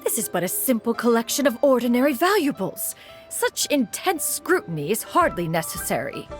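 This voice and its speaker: A woman's voice: on the high side, very animated, moderate pace. A woman voicing a proud ancient crane spirit in a video game, speaking in a stately, archaic and haughty way. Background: game music.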